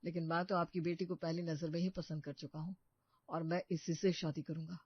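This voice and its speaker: A man's voice: a little high, level tone, brisk, husky, no room sound. A man playing a protective, scheming young brother in a cartoon story, with an assured, earnest delivery. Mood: smooth, boastful. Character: protective, scheming young working-class brother posing as rich suitor. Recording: muffled dry studio recording.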